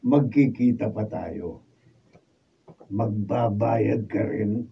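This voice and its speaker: A vengeful, menacing ruined businessman with a Filipino accent for radio drama. He creaks through slow lines. The sound is dull and noisy. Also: on the low side, full character voice. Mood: menacing, taunting. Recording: dull, noisy, distant studio camera recording.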